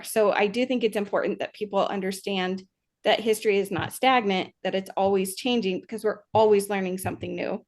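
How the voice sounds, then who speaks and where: Woman podcast co-host, mid-range voice, earnest and reflective in casual chat. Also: mid-range voice.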